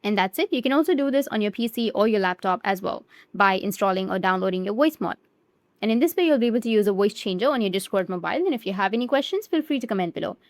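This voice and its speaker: A woman giving step-by-step instructional narration for a software tutorial, with repetitive phrasing. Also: medium pitch, animated, fast talker, no room sound. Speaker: tutorial presenter.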